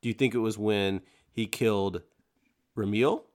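A man's voice: on the low side, measured pace, a little mellow. A man co-hosting a podcast in a casual book discussion, talking emphatically and voicing characters' thoughts as he goes. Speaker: podcast co-host.

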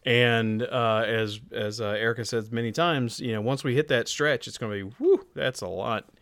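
A man's voice: mid-range voice, normal speed, fairly bright tone, dry recording. A man co-hosting a podcast in casual banter, with a wry, teasing way of talking. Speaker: podcast co-host.

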